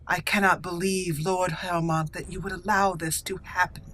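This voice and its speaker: A woman's voice: fairly low pitch, flat delivery, normal speed, a bit bright, slight background noise, lightly acted. A woman voicing a proud, wronged elven noblewoman in a game roleplay, dramatic and formal in delivery. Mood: defiant, wounded. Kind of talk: roleplay acting in a game let's play.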